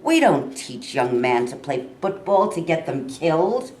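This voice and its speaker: A woman playing a stubborn, proud male college football coach in a staged reading, with an emphatic, deliberate delivery. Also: low-pitched, sing-song, a little mellow. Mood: indignant.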